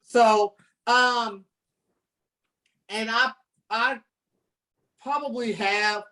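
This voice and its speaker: Older Southern US woman, warm storyteller-teacher manner, mid-pitched, a bit distant from the mic on a video call. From southern United States. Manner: lively, storytelling, encouraging. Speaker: ESL teacher guest. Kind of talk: online video-call talk to students. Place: home room with bookshelves.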